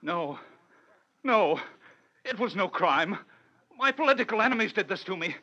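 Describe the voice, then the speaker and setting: A man's voice: sits high, lively intonation, normal speed, sharp tone, heavily acted. An actor playing a desperate condemned man protesting his innocence in a 1940s radio drama. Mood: desperate, pleading. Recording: dull old radio broadcast.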